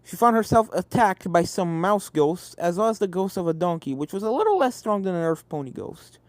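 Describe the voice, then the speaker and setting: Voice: mid-range voice, big pitch swings, brisk. A narrator reading a scripted fan fiction story aloud.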